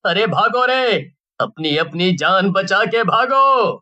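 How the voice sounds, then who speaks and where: High-pitched, panicky village man, acted out. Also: animated, slow pace, sharp tone, highs cut off, full character voice. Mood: panicked. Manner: agitated, complaining, scornful. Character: panicky, selfish village man, quick to flee.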